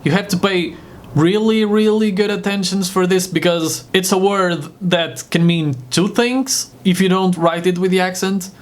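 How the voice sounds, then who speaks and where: A man's voice: high voice, fairly even intonation, moderate pace. A man from Portugal with a Portuguese accent, a native Portuguese speaker, giving an informal vocabulary lesson in a casual, jokey manner. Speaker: host teaching vocabulary. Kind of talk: informal video language lesson.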